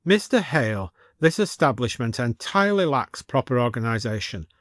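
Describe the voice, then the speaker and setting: Man playing a Victorian gentleman, pompous, plaintive, formal old-fashioned phrasing, storybook character, very dry sound. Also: mid-range voice, big pitch swings, moderate pace, no room sound, close to an everyday voice.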